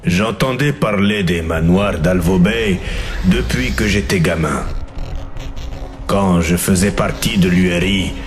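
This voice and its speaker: An actor playing a jaded soldier recounting a war mission in a sardonic, understated way for a video game. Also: male voice, sits low, takes it slowly, soft background music. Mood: wry. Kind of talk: dramatic game narration and dialogue.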